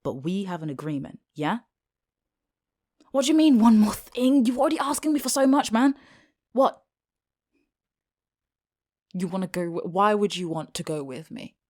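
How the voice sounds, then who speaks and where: A woman with a British accent playing a reluctant, exasperated teenage schoolgirl with a secret magical identity, in a casual, halting, sardonic ad-libbed audio roleplay. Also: medium pitch, sing-song, a little mellow. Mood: incredulous, exasperated.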